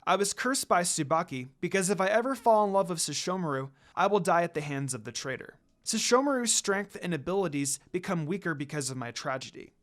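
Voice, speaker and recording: Male reader as heartbroken young priestess, earnest, fairly high, expressive storybook delivery. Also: a little fast, hardly any pauses. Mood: sad. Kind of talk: dramatic story reading.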